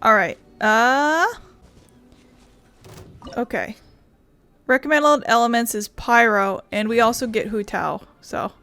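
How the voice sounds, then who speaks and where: A woman's voice: a little high, takes it slowly, long pauses, pushed and bright, smooth. A woman giving casual let's play commentary, chatty and thinking aloud as she plays. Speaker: gaming streamer. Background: game music.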